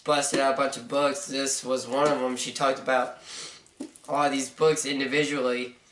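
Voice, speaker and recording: A young man with a hoarse, stuffy voice giving a rambling, hesitant book review, full of fillers like "uh" and "so.". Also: medium pitch, little pitch movement, moderate pace, fairly bright tone. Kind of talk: casual video review. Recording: roomy, mic at a distance, faint noise.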